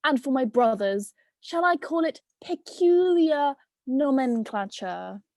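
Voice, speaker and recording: A woman playing a pompous, eloquent talking goat with a sharp tongue and elaborate diction in a play reading. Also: high voice, animated, takes it slowly, dry recording, studio quality, full character voice. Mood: courtly, pompous. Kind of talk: amateur dramatic play reading.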